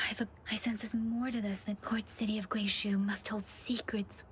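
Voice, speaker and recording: A woman's voice: medium pitch, level tone, moderate pace, a bit bright, a little hiss or hum, close to an everyday voice. A woman playing an earnest, cautious young female investigator in a scripted game scene. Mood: concerned, thoughtful. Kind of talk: scripted game character acting.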